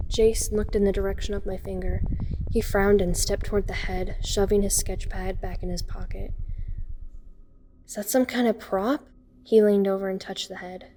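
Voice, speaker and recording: A woman's voice: mid-range voice, dark tone, slight background noise, natural voice, low-quality recording. A woman playing a teenage girl who narrates her horror ordeal in the first person, observant and uneasy. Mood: horrified. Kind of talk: first-person dramatic audio story narration.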